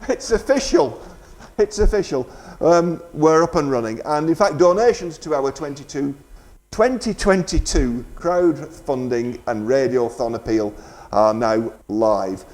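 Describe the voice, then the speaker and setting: A man's voice: on the high side, animated, a little slow, a little mellow. A man presenting live community radio, making a fundraising announcement in an informal, hesitant, bantering way.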